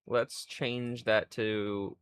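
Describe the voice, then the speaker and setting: A man in his twenties streaming live, rambling in a crude, self-mocking way and thinking out loud. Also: fairly low pitch, flat delivery, drawn-out words, clean tone, no room sound. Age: 20s. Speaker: livestreamer. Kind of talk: casual livestream chat.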